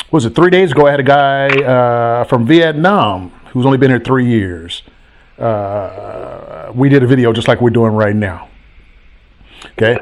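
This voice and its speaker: A man at a middle pitch hosts a call-in chat as a coach. He talks in an animated, preachy way and repeats himself for emphasis. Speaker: host, real estate coach.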